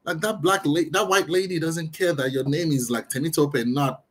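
A man's voice: fairly high pitch, a little hiss or hum. A man in his thirties from Nigeria, with a partly Americanized Nigerian accent, talking emphatically in casual conversation. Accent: Nigerian-inflected, partly Americanized. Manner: emphatic and animated, quick restarts.

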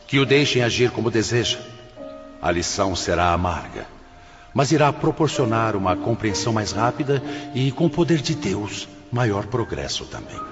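An actor playing a stern spirit brother in authority in a radio drama, speaking in a grave, formal, admonishing way. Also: male voice, on the low side, very animated, normal speed, over music. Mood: grave resignation.

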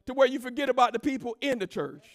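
A woman pastor delivering a sermon, emphatic and rousing, calling out to the congregation and swinging from low asides into shouted, sing-song cadences. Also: animated, quick pace. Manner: emphatic preaching with call-and-response.

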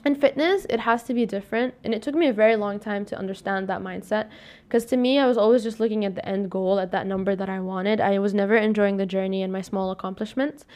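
A woman's voice: fairly even intonation, fast, darkish tone. A young woman with a somewhat scratchy voice, hosting a casual solo podcast, rambling and correcting herself as she talks. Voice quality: scratchy, deepens with long talk. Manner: rambling, self-correcting, upbeat sign-off. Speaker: podcast host.

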